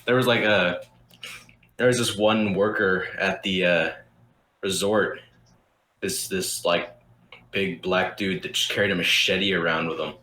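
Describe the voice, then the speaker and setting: A man's voice: low voice, steady tone, a little slow, pauses often, sharp tone, reverberant. A young man hosting a podcast, telling a story in a loose, rambling way with lots of restarts and casual swearing. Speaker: podcast host.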